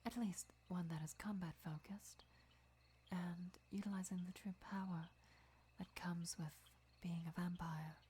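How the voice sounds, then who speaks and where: A woman playing a gentle, devoted vampire lover who teaches patiently in a breathy, measured whisper for an ASMR roleplay. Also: fairly low pitch, fairly even intonation. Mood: calm, instructive. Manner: measured, deliberate, soft-spoken explaining.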